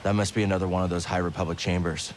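Low-voiced calm adventurer played by a man. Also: rapid-fire, background noise, lightly acted. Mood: musing. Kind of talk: video game voice acting.

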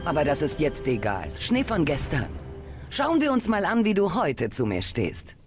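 An actor playing a blunt, judgmental former comrade in a video game, curt and reproachful. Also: male voice, fairly high pitch, huge pitch swings, normal speed, music in the background. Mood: grudging. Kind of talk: dramatic video game acting.

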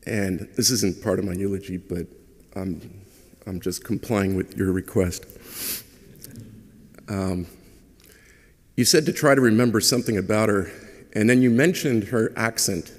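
A man's voice: on the low side, measured pace, pauses often. A middle-aged man whose first language is Hungarian gives a chatty, humorous eulogy at a church lectern microphone. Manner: conversational and humorous, with hesitations. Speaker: son giving a eulogy. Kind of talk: funeral eulogy. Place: large church. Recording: long church reverb.

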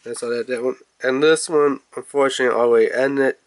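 A man with a stuffy, congested nose talks through a video game unboxing in a loose, rambling way, leaning on fillers like "so" and "you know." His voice sits at an ordinary middle pitch. He is recorded indoors at home on a phone or camera held above a tabletop. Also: steady tone, brisk. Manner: rambling, filler-heavy, talks to camera while handling the case. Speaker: gaming channel host. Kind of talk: casual unboxing review.

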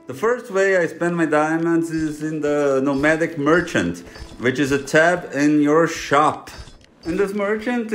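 A man's voice: fairly high pitch, slight background noise. A man from Brazil with a Brazilian accent, Portuguese his first language, giving a chatty, self-joking voice-over for a game guide. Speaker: gaming YouTuber.

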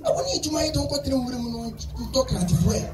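A man's voice: extremely high pitch, racing, background noise. A man playing an agitated, exclamatory character in a live outdoor stage skit, repeating his phrases. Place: open-air courtyard at a festival. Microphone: handheld microphone, heard through distant camera. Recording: echoey PA from far off, faint music.

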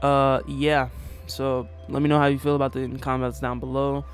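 A man's voice: medium pitch, flat delivery, moderate pace, clean tone, faint music behind. A young man casually reacting to a rap song from his small bedroom, slangy and laid-back, swearing often. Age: young adult. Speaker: music reaction youtuber. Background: hip hop track playing.

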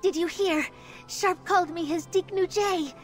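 A woman's voice: very high voice, unhurried, faint music behind. An actress playing an earnest, affectionate young woman in a fantasy game. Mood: happy, teasing. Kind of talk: scripted fantasy game voice acting.

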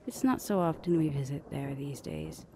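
A woman playing a dominant older vampire sister in an ASMR roleplay, breathy and languid with dry teasing. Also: low voice, huge pitch swings, normal speed, dark tone, slight background noise. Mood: haughty.

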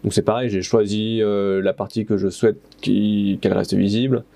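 Gravelly low-voiced man, hesitant DIY talk. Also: level tone, moderate pace, dark tone. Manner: hesitant, many fillers, self-correcting. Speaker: plasterer renovating his house. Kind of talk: casual DIY narration. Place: stone-walled room under renovation. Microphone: head-mounted action camera.

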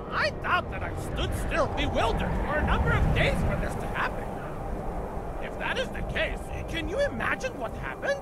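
A talkative little rat creature from a fantasy game, with a very high voice that swings up and down. It rambles through tall tales in an absent-minded, tipsy way, breaking off to count. Loud music plays behind it. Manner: rambling storyteller, pausing to count numbers. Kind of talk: scripted comic fantasy game storytelling.

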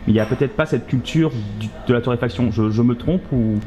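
A man's voice: mid-range voice, brisk, over music. A man hosting a casual interview, asking chatty questions and often restarting his sentences. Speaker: interviewer, blog host. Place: coffee shop, indoors.

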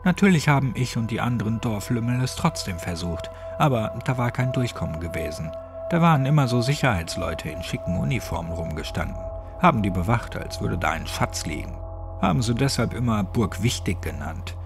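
Guy doing a crude old village grump, deep voice, cynical, chatty, horror audiobook, music under it. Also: sing-song, brisk. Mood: wry reminiscing. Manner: colloquial, clipped, gruffly chatty. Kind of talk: horror audiobook character dialogue.

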